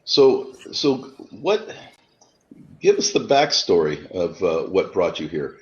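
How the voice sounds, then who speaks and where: A man's voice: sits low, very animated, slow, darkish tone. A man hosting, asking short questions and giving brief interjections. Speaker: host. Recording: dull, distant lo-fi sound.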